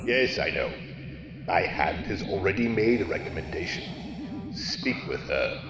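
A man in an audio drama playing a menacing, scheming old dark lord, imperious and deliberate. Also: deep voice, wildly swooping pitch, reverberant, dull audio, over music, heavily acted. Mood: commanding.

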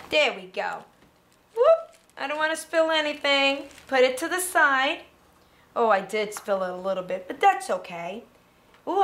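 A middle-aged woman teaching preschoolers in a classroom, talking young children through each step in an encouraging, animated way. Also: high-pitched. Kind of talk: children's educational demonstration. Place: indoor classroom.

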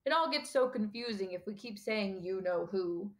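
Woman voicing a gentle, calm old male professor. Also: medium pitch, normal speed, natural voice, rough recording. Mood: calm, reasonable. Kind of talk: audiobook character dialogue.

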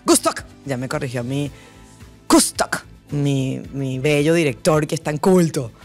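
A woman's voice: deep voice, lively intonation, slow, a little mellow, soft background music. A woman hosting a news chat show in a small studio, exclaiming and laughing often. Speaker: host. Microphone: studio mic on boom, wearing headphones.

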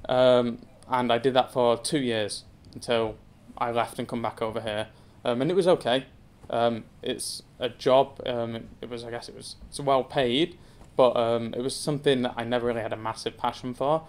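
A man's voice: mid-range voice, steady tone, moderate pace, hardly any pauses. A young man in his twenties from England, telling his story to an audience from a lectern, casual and self-deprecating with many filler ums. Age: 20s. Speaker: zoo community engagement assistant giving a talk. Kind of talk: personal story talk to an audience. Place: indoor wood-panelled function room with seated audience. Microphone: speaking at a lectern.

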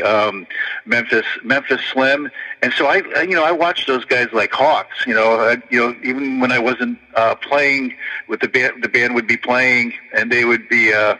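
Bright-sounding older New musician. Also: male voice, medium pitch, normal speed, big-room sound, rough recording. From Connecticut, USA. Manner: rambling, filler-heavy storytelling. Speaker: blues pianist guest. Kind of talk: casual interview storytelling.

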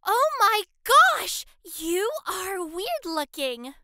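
A woman's voice: very high-pitched, very animated, extremely slow, sharp tone, no room sound, clean studio sound, exaggerated voice. A woman playing a vain, airheaded dragon princess in an audio drama, gushing and haughty. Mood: gushing, tactless. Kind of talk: fan audio drama acting.

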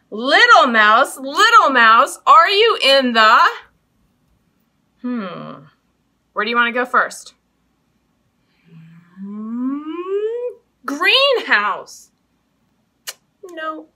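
An American woman, likely in her thirties, hosts children's storytime indoors with an expressive, sing-song delivery, speaking to young children. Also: fairly high pitch. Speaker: children's library storytime host. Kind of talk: children's storytime, interactive games and picture book reading. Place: small indoor room hung with fabric drapes.

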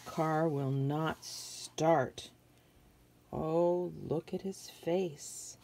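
A woman's voice: sits low, fairly even intonation, drawn-out words, warm tone, a little hiss or hum. A middle-aged American woman reading a picture book aloud to children, adding simple questions and observations as she goes. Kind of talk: read-aloud storytelling with asides to young listeners.

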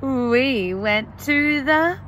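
Young woman, primary teacher, outdoor phone video, very slow and animated read-aloud. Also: sits high, a little hiss or hum. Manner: slow, exaggerated, sing-song storybook reading. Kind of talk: reading lesson for young children. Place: outdoors under trees.

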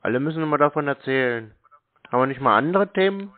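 Guy on a thin phone line, medium pitch, short blunt answers, keeps contradicting and needling. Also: animated, quick pace. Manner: curt, contrarian, provocative. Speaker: prank caller baiting phone scammers. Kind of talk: phone conversation.

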